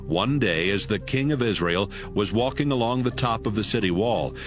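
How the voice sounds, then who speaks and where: A male narrator with a slightly low, lively voice reads scripted Bible narration. His pitch moves expressively, and music plays clearly behind him. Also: normal speed.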